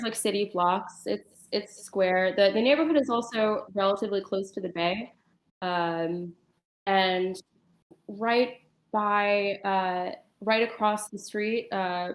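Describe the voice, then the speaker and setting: A woman in her thirties from Brooklyn, a guest telling her own story casually and haltingly. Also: on the low side. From Brooklyn, New York, United States. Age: 30s. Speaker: interview guest. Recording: echoey room.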